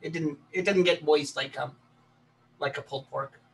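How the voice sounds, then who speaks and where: A man's voice: fairly high pitch, normal speed, creaky. A man from Texas, a planetary scientist, giving a talk over a video call, with many ums and restarts. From Texas, USA. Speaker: guest presenter, planetary scientist. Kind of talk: casual chat then slide presentation. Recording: distant mic, faint background noise.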